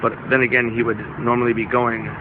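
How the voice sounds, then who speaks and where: A man's voice: medium pitch, little pitch movement, quick pace, background noise. A man asking a comedic question over a video call with tinny, phone-like sound.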